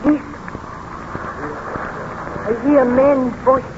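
A woman's voice: high-pitched, level tone, drawn-out words. A woman acting a baron's genteel, distressed wife in a 1930s radio serial, with formal, melodramatic diction. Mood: anxious. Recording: noisy, muffled vintage 1930s radio recording.

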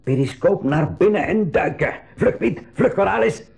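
An actor playing a kindly, principled uncle who commands a small submarine, in a Flemish-accented 1980s school radio play. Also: male voice, sits high, animated, normal speed, gravelly, a little mellow, breathy edge, heavily acted. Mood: urgent commanding.

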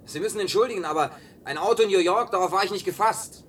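An actor playing an awkward, grumbling drifter in a German science-fiction radio play, speaking colloquially, hesitant and gruffly excitable. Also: male voice, high-pitched, quick pace, distant mic. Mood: startled, agitated. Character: awkward, grumbling male drifter, last man on earth.

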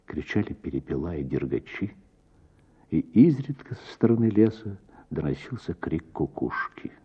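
A male actor narrating a literary story for radio with dramatic, measured delivery and deliberate pauses. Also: deep voice, sing-song, slightly rough, muffled recording.

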